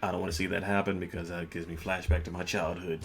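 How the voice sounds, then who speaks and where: A man hosting a podcast, telling a personal story in a casual, measured way with music playing behind the voice. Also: low voice, little pitch movement, gravelly, noisy background. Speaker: podcast host. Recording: music bed under the voice. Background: background music.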